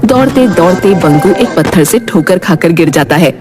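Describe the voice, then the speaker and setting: A woman's voice: lowish voice, expressive, normal speed, music in the background. A woman storyteller giving scripted narration of a Hindi tale.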